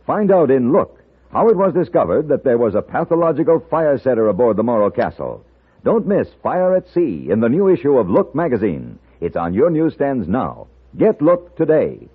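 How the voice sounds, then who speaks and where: A man's voice: medium pitch, big pitch swings, normal speed, husky. A man announcing in 1950s radio style, emphatic, polished and instructive. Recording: narrow-band 1950s radio recording.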